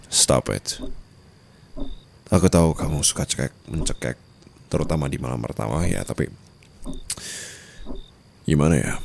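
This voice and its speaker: A man's voice: extremely low pitch, lively intonation, normal speed, creaky, long pauses, a little mellow, heavily acted. A man playing a dominant, teasing rich husband in an intimate ASMR roleplay, taunting and possessive, mixing Indonesian with English. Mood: smug teasing.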